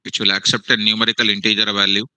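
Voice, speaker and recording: A male programming teacher, giving a live lecture to students in a classroom and online, repeating phrases slowly for dictation. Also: lowish voice, little pitch movement, sharp tone, clean tone, dry recording, rough recording. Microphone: online meeting.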